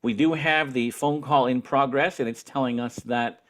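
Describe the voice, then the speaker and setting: A man giving a spoken tutorial as an instructor, explaining each step in a deliberate, patient way. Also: mid-range voice, fairly even intonation, fairly bright tone.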